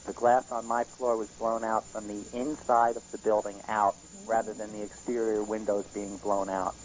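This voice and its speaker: A man phoning in a live eyewitness report to TV news, composed and deliberate as he stresses key facts. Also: on the low side, level tone, darkish tone. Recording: noisy archived phone call on TV broadcast.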